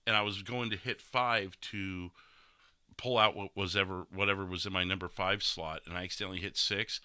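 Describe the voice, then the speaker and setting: A man's voice: low voice, fairly even intonation, fairly quick, sharp tone, smooth, no room sound, clean studio sound. A man chatting casually as a streamer, rambling a bit, with a stuffy nose that has him breathing through his mouth. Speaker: gaming streamer hosting a podcast. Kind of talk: casual chat.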